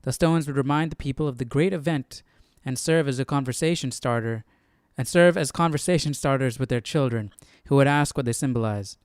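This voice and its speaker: Male livestream study host: smooth, clear, mid-pitched, casual, questioning, very dry sound. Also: a little fast, no room sound. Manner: questioning, thinking aloud. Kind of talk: casual commentary and reading aloud.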